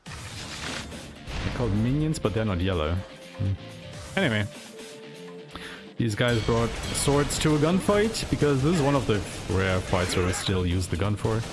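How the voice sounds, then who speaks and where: A man's voice: on the low side, big pitch swings, measured pace, pauses often. A man giving casual, explanatory commentary as he plays a video game live. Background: video game music and sounds.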